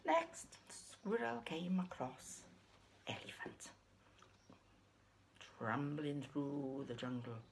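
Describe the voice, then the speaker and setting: A woman's voice: low voice, very animated, very slow, gravelly, pauses often, heavy background noise. An older woman telling a story for young children as a puppeteer, recorded at home on a device. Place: small room at home.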